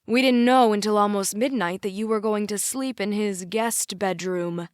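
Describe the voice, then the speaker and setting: A woman's voice: mid-range voice, moderate pace, clear, resonant tone, no room sound, lightly acted. An imposing, disapproving dad performed by a woman in audiobook dialogue. He admonishes awkwardly and with emphasis, in a very dry recording. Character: disapproving, awkward, imposing father of a teenage girl.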